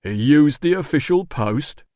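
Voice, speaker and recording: A man voicing a surly, rough-spoken working-class male doorman in an audiobook, blunt and slow. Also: medium pitch, no room sound, studio quality, natural voice. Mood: aggrieved. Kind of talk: audiobook dialogue.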